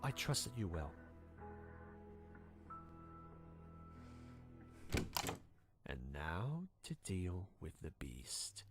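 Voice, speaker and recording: A woman's voice: a bass voice, sing-song, unhurried, over loud music, heavily acted. A woman voicing a stern, scheming cartoon pony in authority, controlled and insistent. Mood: cold, scheming.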